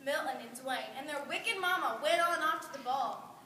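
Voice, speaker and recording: Animated, very high-pitched woman storyteller. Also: moderate pace. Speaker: narrator. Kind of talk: scripted storybook narration in reader's theater. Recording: very noisy echoey room, distant microphone.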